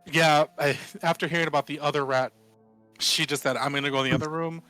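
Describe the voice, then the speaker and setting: Bright, higher-pitched wry man. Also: steady tone, quick pace, soft background music. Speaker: tabletop game player. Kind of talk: casual in-game chat, narrating own character.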